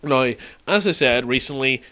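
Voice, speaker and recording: A man's voice: medium pitch, animated, moderate pace, bright, forceful tone. A man talking casually and unscripted as a game fan in an audio log, hesitating, rambling and correcting himself as he reminisces.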